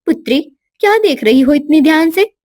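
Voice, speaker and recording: A woman's voice: sits high, animated, normal speed, darkish tone, dry recording, clean studio sound, pitched above the performer's own voice. A woman voicing an anxious, devoted queen and mother in a cartoon, with formal, pleading speech. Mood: concerned.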